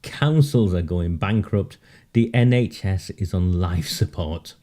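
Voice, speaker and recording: A man's voice: deep voice, slow, mellow. A man hosting a live-streamed political monologue, sardonic and emphatic, often laughing at his own points and repeating words for emphasis. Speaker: political commentary host.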